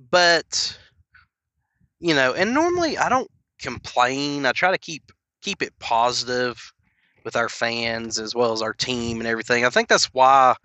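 Young man with a cold, rambling casual podcast rant, bright tone. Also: medium pitch, no echo. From Knoxville, Tennessee. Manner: rambling, filler-heavy, earnest. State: sniffly from a cold. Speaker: podcast host.

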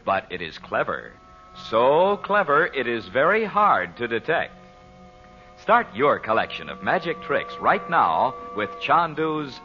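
An American man announcing and narrating in a theatrical, emphatic old-time radio style. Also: a little high. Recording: dull old radio recording with music.

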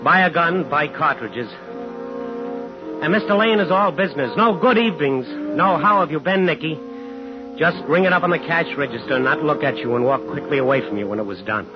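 An actor plays a defiant small-town man in a 1950s radio thriller. His delivery is clipped, hard-boiled and deliberate. The old broadcast is muffled, with music underneath. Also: a little high, animated, lightly acted. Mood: bleak, brooding.

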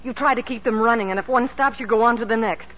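A woman's voice: on the high side, fast talker, lightly acted. A woman acting a strong-willed, emotive female musician in an old radio drama. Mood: distressed, defiant. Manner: theatrical, emotive. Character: strong-willed ailing female concert pianist, urgent and defiant. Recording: muffled, noisy 1940s radio recording.

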